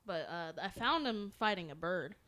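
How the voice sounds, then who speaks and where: A woman's voice: on the low side, lively intonation, normal speed, bright, forceful tone. A young American woman co-hosting a podcast, bantering casually with slang, jokes and easy swearing. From North Carolina, United States. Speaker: podcast co-host.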